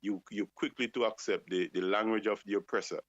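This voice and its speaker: A man's voice: fairly low pitch, steady tone, a little fast, fairly bright tone, no room sound. A man on a talk show, speaking with passion and firing off rhetorical questions. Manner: impassioned, asks rhetorical questions. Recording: dull lo-fi dry sound.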